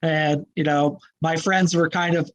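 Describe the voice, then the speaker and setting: Higher-voiced man chatting about hunting. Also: steady tone, measured pace. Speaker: guest hunter. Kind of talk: casual chat.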